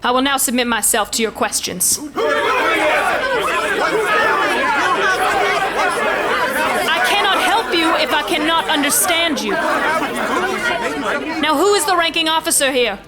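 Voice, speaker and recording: A woman's voice: sits high, expressive, moderate pace, a loud crowd, very noisy. A woman playing a noble elven commander in a fantasy audio drama, speaking in formal, stately oratory. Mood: formal, commanding.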